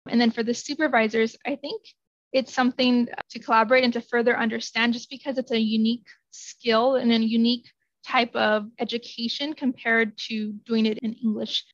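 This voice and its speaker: Woman guest, earnest, flat delivery, somewhat high, dull remote audio. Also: moderate pace, dry recording. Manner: earnest, hedges with "I think". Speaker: interview guest, genetic counselor. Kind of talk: casual interview answers.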